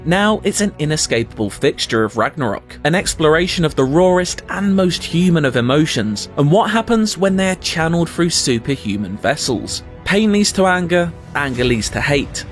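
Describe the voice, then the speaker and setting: A man reading a scripted video game review with polished, measured and emphatic phrasing. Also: fairly high pitch. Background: light background music.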